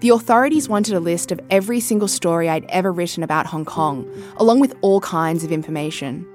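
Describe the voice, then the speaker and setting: A woman in her thirties from Australia narrating a documentary podcast as correspondent, measured and reflective. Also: on the low side, a little mellow, faint music behind. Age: 30s.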